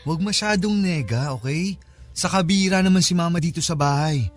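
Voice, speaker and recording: An actor plays a young Filipino boyfriend in a radio drama. He is easygoing and reassuring, with a casual delivery and a slightly high voice. Light music plays underneath. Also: a little fast, natural voice. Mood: relaxed, reassuring.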